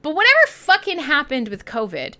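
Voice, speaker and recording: A woman with a Canadian accent and a very bright, forward voice pitched a little high. She chats casually as a live radio host talking straight to her listeners. Her way of talking is blunt, irreverent and peppered with swearing. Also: huge pitch swings, fairly quick. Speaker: online radio show host.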